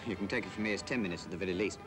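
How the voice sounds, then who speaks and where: A man's voice: deep voice, very fast, music in the background, natural voice. An actor in his thirties playing an anxious, indignant British working man in an old film, blunt, agitated and protesting. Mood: wary. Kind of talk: 1940s film acting.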